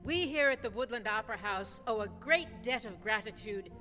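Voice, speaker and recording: A woman's voice: on the high side, lively intonation, fairly quick, bright, forceful tone. A woman reading scripted narration as a narrator in a radio play. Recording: reverberant hall, faint music.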